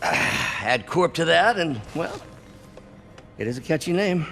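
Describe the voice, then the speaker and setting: An actor playing a weary, embittered middle-aged scientist in a naturalistic video game cutscene. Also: male voice, fairly high pitch, sing-song, takes it slowly, creaky, a bit bright, heavily acted. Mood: wistful, bitter. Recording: music behind the voice.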